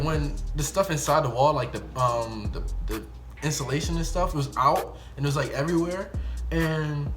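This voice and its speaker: A young man with an American accent telling a casual, slangy first-person story in an animated way, acting out bits of dialogue. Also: fairly high pitch. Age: young adult. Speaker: YouTube storyteller.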